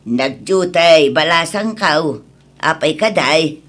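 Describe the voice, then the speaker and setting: Woman playing a slow, low-voiced village auntie. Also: expressive, a bit bright, full character voice. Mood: curious. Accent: Ilocano. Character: gossipy middle-aged village auntie. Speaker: actor in a radio drama. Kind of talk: radio drama acting.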